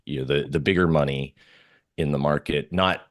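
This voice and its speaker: A man's voice: a bass voice, fairly even intonation, moderate pace. A man giving casual market commentary as an interview guest, informal and often repeating himself as he thinks aloud.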